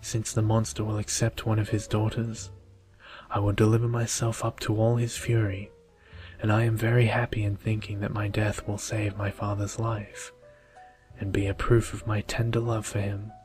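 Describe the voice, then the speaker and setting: A selfless young storybook heroine, read by a man in a low, level voice, gentle and formal in her words. She is brave and kind, and soft music plays underneath. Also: flat delivery, normal speed, close to an everyday voice. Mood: calm, resolute.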